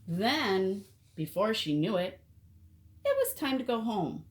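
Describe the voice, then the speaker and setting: A woman reading a picture book aloud to children as an expressive storyteller. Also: medium pitch, slow.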